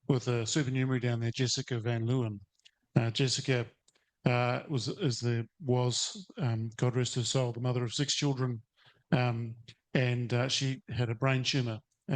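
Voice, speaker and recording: A man, a teacher asking a long, hesitant question at a conference, full of fillers and restarts. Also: mid-range voice. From New Zealand. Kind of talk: audience question at a seminar. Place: seated in a conference or webinar room.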